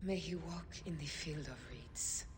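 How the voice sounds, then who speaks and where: An actress playing a determined, firm woman warrior-leader in a video game, with echoey game audio and clear ambience. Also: low-pitched, a little slow, a little hiss or hum. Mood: resolute.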